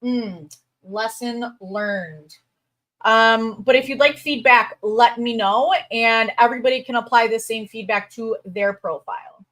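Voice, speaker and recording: Woman, 30s, Chicago accent, upbeat recruiter host, somewhat high voice, casual livestream patter. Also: a bit bright, poor audio. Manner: bubbly, chatty, quick asides and fillers. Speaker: crypto recruiter hosting a livestream. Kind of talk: casual livestream chat reading viewer comments.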